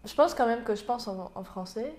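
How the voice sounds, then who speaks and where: A woman's voice: a little high, expressive, brisk, darkish tone. A young woman, a native French speaker, hosting a casual chat, talking in loose trailing phrases with frequent fillers and laughter. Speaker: interviewer, vlog host. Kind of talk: casual conversation mixing French and English.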